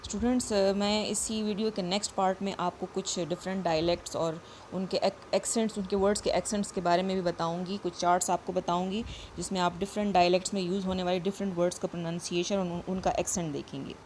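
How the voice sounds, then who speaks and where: A woman teacher with a voice, explaining a lesson patiently and reading English definitions out slowly. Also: lowish voice, steady tone, darkish tone, a little hiss or hum. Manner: explains patiently, repeats points, reads English lines slowly.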